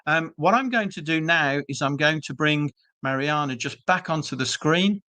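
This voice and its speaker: A man's voice: fairly high pitch, moderate pace, bright, forceful tone, no room sound. A British man presenting an online webinar for a business school, chatty and reassuring with frequent ums and uhs, speaking over a video call. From Britain.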